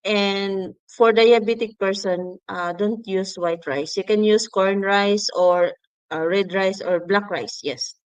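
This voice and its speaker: A woman's voice: mid-range voice, steady tone, slow, clean tone, no echo. A woman from the Philippines with a Filipino accent, speaking English as a second language, chatting and explaining as co-host of a casual livestream.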